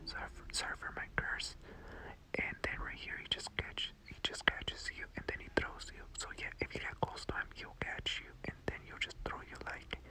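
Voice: normal speed, poor audio. A person whispering casual, rambling ASMR game commentary into a close headphone mic, often repeating phrases. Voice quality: whispering, unvoiced. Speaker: gaming video host. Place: voice-over recorded over gameplay.

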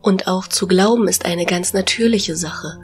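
A woman voicing a gentle, wise young goddess of nature in a fantasy parody audio drama, calmly lecturing. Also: medium pitch, normal speed, soft background music, natural voice. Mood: gentle, philosophical. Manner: calm, lecturing.

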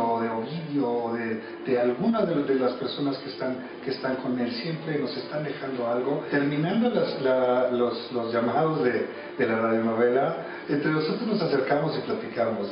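A Mexican man in his thirties giving reflective interview answers in a halting way, repeating words as he searches. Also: mid-range voice. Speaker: interviewee, radio drama actor. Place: small cluttered office. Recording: echoey room, distant mic, muffled, music behind.

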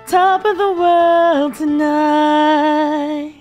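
A woman streamer with a hoarse voice, singing a ballad and then chatting with viewers in a bubbly, giggly way. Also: high voice, level tone, extremely slow. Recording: faint piano backing music. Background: soft piano backing during the song.

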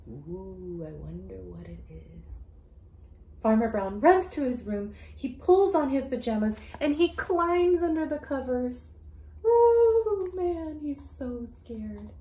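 A woman in her thirties doing an interactive picture-book read-aloud for young children, expressive and sing-song, with hushed asides and questions to the listener. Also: a little high. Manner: slow, deliberate reading with questions to the listener. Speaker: children's story reader, educator. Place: small indoor room at home. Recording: home recording with faint background noise.

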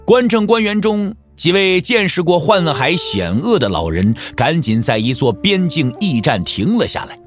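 A man narrates a martial-arts novel as a dramatic storyteller. His voice sits a little high and moves with lively swings, wry and theatrical, over soft music. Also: moderate pace, few pauses. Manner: theatrical, wry, with rhetorical questions. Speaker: audiobook narrator.

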